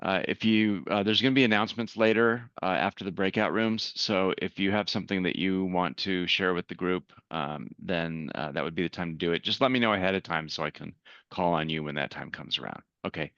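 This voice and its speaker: A man's voice: low-pitched, brisk, a bit bright, clean tone, no echo, lo-fi sound. A man hosting an online meetup, introducing speakers and making announcements in a casual manner with many fillers. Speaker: meetup host. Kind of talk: event announcements. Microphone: video call.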